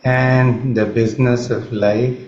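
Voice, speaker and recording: Indian guy doing guided meditation, super slow and calm, roomy slightly muffled sound. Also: mid-range voice. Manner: calm, measured, long pauses. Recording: roomy, slightly muffled, faint background noise.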